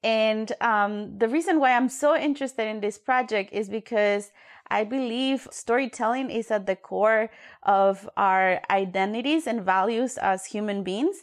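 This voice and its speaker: A woman's voice: a little high, measured pace. Friendly Ecuadorian-born woman podcast host. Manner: friendly, chatty, with fillers. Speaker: podcast co-host, youth worker. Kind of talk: casual podcast hosting.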